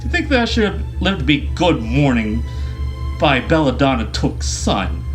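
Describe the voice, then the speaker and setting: A man's voice: medium pitch, big pitch swings, normal speed, soft background music, lightly acted. Man voicing a grand, testy old wizard. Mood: indignant, grand.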